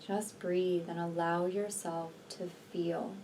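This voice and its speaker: Mellow-voiced woman guiding a meditation. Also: on the low side, level tone. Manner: slow, soothing, deliberate with long pauses. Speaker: energy healer and meditation guide. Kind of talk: guided meditation, channeled healing session. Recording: distant mic, faint background noise.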